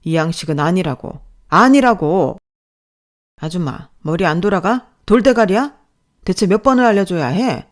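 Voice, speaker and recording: A woman's voice: on the low side, expressive, moderate pace, darkish tone, lightly acted. A woman voicing a harsh office manager who insults and berates his staff, with a scolding, sneering manner. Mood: contemptuous scolding.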